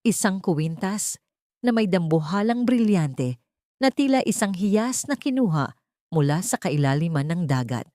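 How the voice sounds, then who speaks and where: Female narrator, for audio drama: low, mellow voice, solemn and measured storytelling. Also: animated, no room sound, studio quality.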